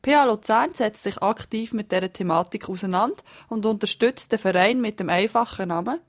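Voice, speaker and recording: A woman's voice: medium pitch, a little fast. A young woman reading a scripted introduction to a report as presenter. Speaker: presenter, student teacher.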